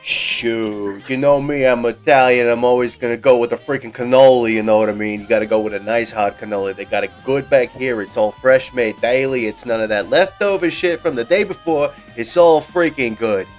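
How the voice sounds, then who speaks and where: A man's voice: medium pitch, moderate pace, few pauses, soft background music, lightly acted. A game master voicing a brash, bossy middle-aged male gang boss who talks like a wheeler-dealer. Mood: boastful.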